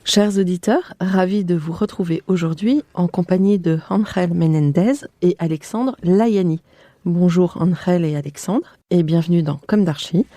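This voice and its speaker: A woman's voice: on the low side, normal speed, mellow, no room sound, studio quality. A French woman hosting an interview podcast, presenting guests and putting questions to them. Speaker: podcast host. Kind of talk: scripted introduction and interview questions.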